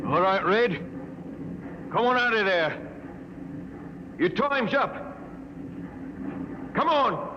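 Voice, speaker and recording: A man's voice: high-pitched, expressive, slow pace, long pauses. A man playing a bullying spaceship officer in a 1950s radio drama, mocking and menacing, on a muffled, noisy old recording. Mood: cold, barking.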